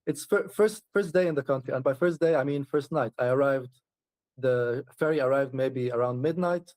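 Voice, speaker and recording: A man's voice: medium pitch, normal speed, a little mellow, dry recording. A man chatting casually as an interview guest, often laughing and restarting his sentences. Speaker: traveler guest.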